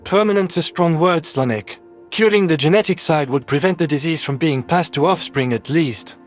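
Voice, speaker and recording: A man voicing a calm, candid, friendly male alien doctor in a science fiction audio story. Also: a little high, moderate pace, soft background music, natural voice. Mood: explanatory.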